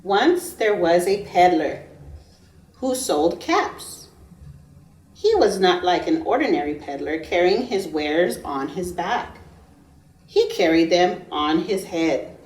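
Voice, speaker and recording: A woman's voice: lowish voice, animated, slow pace, darkish tone. A woman preschool teacher reading a story aloud to young children, with emphatic phrasing and questions for her listeners. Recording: roomy echo, distant microphone.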